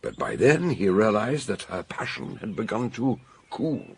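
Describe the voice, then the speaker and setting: An actor playing a jovial, commanding older detective in a British radio mystery, with a British accent, a gruff voice and a theatrical, expansive way of explaining. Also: male voice, lowish voice, huge pitch swings, a little slow, exaggerated voice. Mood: shrewd, explaining. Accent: British English.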